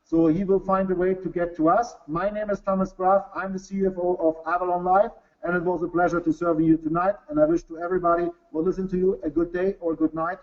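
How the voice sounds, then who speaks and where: A German-accented man with a fairly high voice presents to a webinar audience, earnest and halting as he restarts his non-native English. The audio is dull and lo-fi. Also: fairly even intonation. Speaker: company executive presenting to a webinar.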